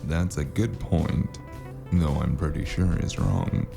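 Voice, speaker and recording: A man narrating a noir audio drama as the lead, dry and wry, with a deadpan manner, over a music score. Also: very deep voice, slow, gravelly. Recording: music score playing underneath.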